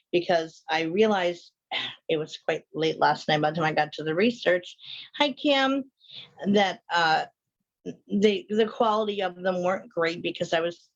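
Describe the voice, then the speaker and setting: Sinusy, low-voiced woman livestream host. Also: dull audio. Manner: informal, rambling, chatty. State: sinusy, sniffly from allergy. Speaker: host of a live online show. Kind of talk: casual livestream chat with viewers.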